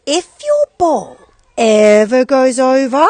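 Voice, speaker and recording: A woman voicing excitable children who tell spooky rumours dramatically in a children's storybook. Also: high-pitched, slow pace, no room sound, full character voice. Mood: warning. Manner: dramatic, ominous. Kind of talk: read-aloud children's storybook.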